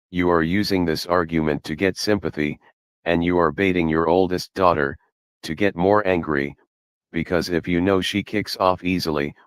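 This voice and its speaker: Male commentator, low voice, dry close recording, measured critical delivery. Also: fairly bright tone, clear, resonant tone, no room sound. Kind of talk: reaction commentary over a clip.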